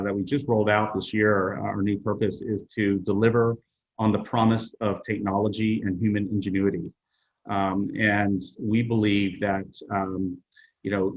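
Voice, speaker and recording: A middle-aged man whose first language is Vietnamese, answering interview questions in a measured way with frequent pauses. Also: on the low side, lo-fi sound. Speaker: HR executive guest.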